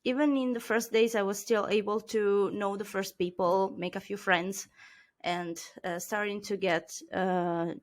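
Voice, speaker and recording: A woman with an Italian accent, a native Italian speaker, chatting as an interview guest over a video call with many fillers and pauses. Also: mid-range voice, fairly even intonation. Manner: hesitant, many fillers, laughs often. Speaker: marketing consultant, guest. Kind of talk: casual interview chat.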